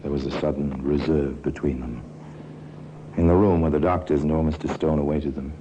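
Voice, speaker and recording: A man narrating a 1950s radio crime drama in a grave, deliberate, ominous manner. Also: steady tone, dark tone, muffled recording. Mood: grave, measured. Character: grave male storyteller of a true-crime tale. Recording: noisy old radio recording with rumble.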